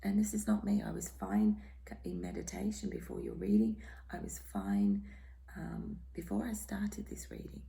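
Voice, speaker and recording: A hoarse, croaky woman giving a tarot reading in a soft, half-whispered, reassuring way. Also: lowish voice, very animated, normal speed. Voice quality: croaky, throat-clearing. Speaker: tarot reader. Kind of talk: casual tarot reading monologue.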